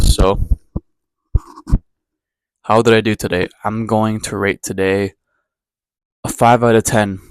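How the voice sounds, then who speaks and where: A man's voice: on the low side, a little mellow, rough recording. A young man from Ecuador in a casual daily vlog, talking candidly to the camera in a rambling, self-deprecating way. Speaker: vlogger. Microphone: camera mic, talking to camera.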